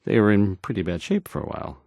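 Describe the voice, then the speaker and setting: An actor playing a nervous, bumbling, insecure male radio DJ in a video game, with a halting, stammering, unsure delivery. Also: fairly low pitch, big pitch swings, a little mellow, no echo, studio quality. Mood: awkward, unsure. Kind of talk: in-character radio news broadcast, video game voice acting.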